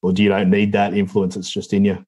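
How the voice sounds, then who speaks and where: Young Aussie host, somewhat low voice, easygoing and chummy. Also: male voice, monotone, quick pace, a little mellow. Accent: Australian. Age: young adult. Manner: laid-back, matey, lots of "yeah, right". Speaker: interview host. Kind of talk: casual interview chat.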